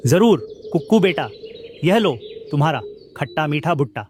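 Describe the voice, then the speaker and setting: A man's voice: on the high side, lively intonation, moderate pace, a little hiss or hum, lightly acted. A man voicing a cheerful, hardworking bird street vendor in a cartoon. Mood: friendly. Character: hardworking, cheerful male bird selling roasted corn.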